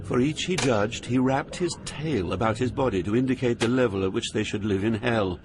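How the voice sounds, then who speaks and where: A man's voice: medium pitch, moderate pace, natural voice. Earnest actor as troubled male poet narrator. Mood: awed, troubled. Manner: earnest, dramatic storytelling. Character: awed, troubled male poet journeying through hell. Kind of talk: scripted dramatised narration. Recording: noisy atmospheric background.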